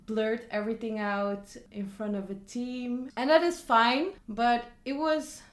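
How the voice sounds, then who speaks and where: A woman's voice: a little high, fairly even intonation, unhurried. A young woman, chatting casually on camera about a book she read and adding many asides. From Netherlands. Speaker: vlogger. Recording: echoey room.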